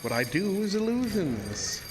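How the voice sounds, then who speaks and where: An actor playing a politely menacing carnival illusionist in a horror audio drama, speaking in a formal, measured, mock-courteous way. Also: male voice, sits high, big pitch swings, background noise. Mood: quietly menacing. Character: sinister, politely menacing male carnival dark-ride illusionist.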